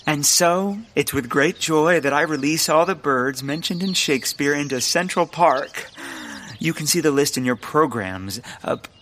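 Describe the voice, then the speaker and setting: A man's voice: on the high side, fairly bright tone, slight background noise. Pompous, bumbling man, easily flustered. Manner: grandiose, flustered, stammering. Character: earnest, pompous, bumbling male bird enthusiast. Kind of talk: comedic period audio drama acting.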